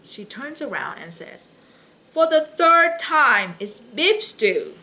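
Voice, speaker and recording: Slow, bright-voiced woman telling jokes casually. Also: high-pitched, very animated. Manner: halting, chatty, with false starts and slips. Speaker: library story and joke reader. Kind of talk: casual read-aloud of jokes with personal chat.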